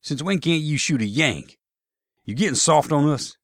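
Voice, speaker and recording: A man voicing a gruff, pushy Confederate soldier with a Southern drawl, scolding his comrade in a story reading. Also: medium pitch, expressive, fairly bright tone, no room sound, clean studio sound, pitched above the performer's own voice. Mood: incredulous, needling. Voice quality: slow drawl. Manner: insistent, scolding, folksy.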